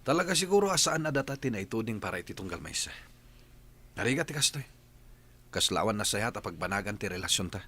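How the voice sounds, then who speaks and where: A man's voice: fairly low pitch, very animated, quick pace, pushed and bright, slightly rough, exaggerated voice. A man with a Filipino accent playing a fed-up, evasive boyfriend in a radio drama, irritated and defensive. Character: Rolly, fed-up, evasive, spendthrift young boyfriend. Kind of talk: Philippine radio drama acting.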